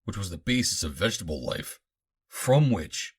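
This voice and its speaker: A proud, calculating Martian scientist, voiced by a male audiobook reader, lecturing grandly on his creations. The voice is low and husky, with formal phrasing and animated swings in pitch. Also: moderate pace, exaggerated voice.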